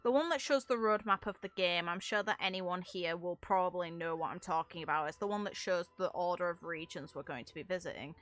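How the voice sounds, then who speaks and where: A woman's voice: fairly low pitch, fairly quick, hardly any pauses, fairly bright tone. A young adult woman giving casual, rambling game commentary in an informal, amused way, as a streamer.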